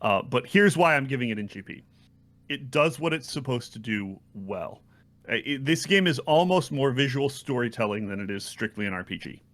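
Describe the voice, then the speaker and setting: A man co-hosting a podcast, delivering a game verdict in short, measured clauses in a conversational style. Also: mid-range voice, expressive, fairly bright tone. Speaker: podcast co-host. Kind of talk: casual video game review discussion.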